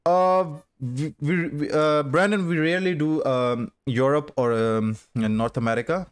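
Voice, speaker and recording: A man, live-streaming a game while chatting with his viewers. He talks at an unhurried pace, a little higher-pitched than most men. He is heard through a headset mic in a small room at home. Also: clean tone. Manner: switches between Urdu and English, bantering with chat. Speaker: gaming streamer.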